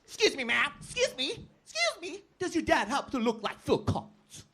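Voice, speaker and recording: A man with an Armenian accent, a second-language English speaker, doing a manic, loud stand-up set at an open mic. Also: extremely high pitch, big pitch swings, normal speed. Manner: loud, manic, jagged delivery.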